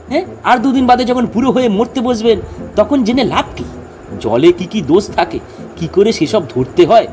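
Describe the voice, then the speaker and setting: An actor playing a pedantic, excitable amateur scientist who lectures insistently in a comedy audio drama. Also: male voice, high-pitched, slight background noise. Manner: didactic, animated, insistent. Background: background music.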